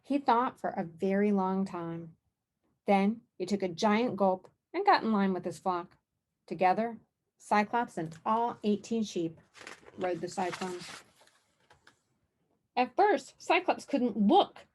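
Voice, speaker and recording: Female children's author, mid-pitched voice, storybook read-aloud narration. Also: animated, takes it slowly, pauses often, a little mellow. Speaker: children's author reading own book.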